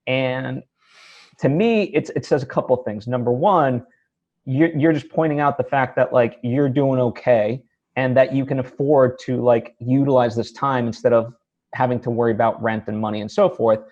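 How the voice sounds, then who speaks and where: A man's voice: mid-range voice, steady tone, normal speed, darkish tone, lo-fi sound. A man hosting a casual interview, circling toward his points in a hesitant, conversational way. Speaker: interviewer, host.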